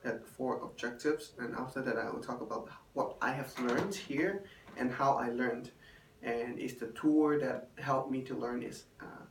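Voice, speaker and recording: A young man, a hotel intern and native Chinese speaker, giving a halting spoken evaluation in English with many fillers. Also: mid-range voice. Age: young adult. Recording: roomy, distant, faint room noise.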